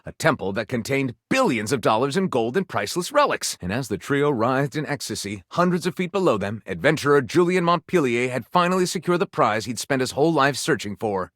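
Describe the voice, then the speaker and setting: Video game role: flamboyant male pulp-romance narrator, overwrought and theatrical, hugely animated intonation, close dry sound. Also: mid-range voice, no echo. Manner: theatrical, breathless, overwrought delivery. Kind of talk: dramatic storybook narration.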